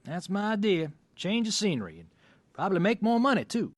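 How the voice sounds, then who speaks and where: An actor playing a gruff, stubborn country father and moonshiner with a rural Southern US accent, in an old-time radio drama. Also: high-pitched, very animated, a little fast. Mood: determined. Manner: folksy, plain-spoken, drawling rural grammar.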